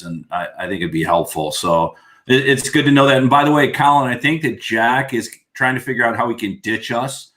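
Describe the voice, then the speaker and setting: A man hosts a relaxed livestream conversation with friends. He rambles a bit and restarts his sentences, but stays upbeat throughout. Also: on the low side. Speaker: livestream host. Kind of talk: casual group chat.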